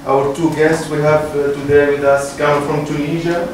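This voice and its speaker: A man moderates a talk in a very echoey room, picked up from far off with clear noise around him. He introduces speakers off the cuff, haltingly, searching for his words. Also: on the high side, flat delivery. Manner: halting, hesitant delivery. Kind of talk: unscripted event introduction. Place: university room. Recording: echoey room, distant mic, noisy.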